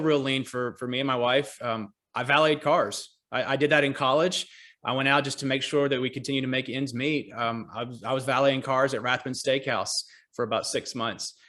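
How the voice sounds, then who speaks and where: A man in his forties with a Southern American accent chats easily about his work over a video call. His voice sits at an ordinary middle pitch, and his talk is relaxed and self-deprecating, with dry humor. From Georgia, US. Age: 40s. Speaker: real estate agent and team leader. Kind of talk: casual interview on a call.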